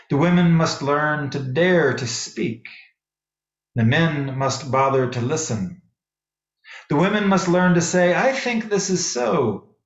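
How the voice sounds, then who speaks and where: A man giving a sermon as a minister, speaking in measured, deliberate phrases with pauses. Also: fairly high pitch, reverberant, muffled recording. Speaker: minister preaching to a congregation. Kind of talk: sermon with poetry readings. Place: echoey worship space.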